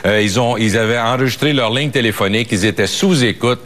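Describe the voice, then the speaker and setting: A man's voice: medium pitch, a little fast, a bit bright. A man with a Quebec French accent giving emphatic, unscripted opinions as a police and legal affairs commentator, often repeating words as his thought builds.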